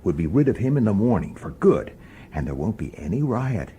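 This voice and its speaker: An actor playing an irritable, sardonic hard-boiled police detective in a radio drama, gruff and impatient. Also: male voice, lowish voice, big pitch swings, a little fast, warm tone, a little hiss or hum. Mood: tense, reassuring. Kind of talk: old-time radio drama acting and narration.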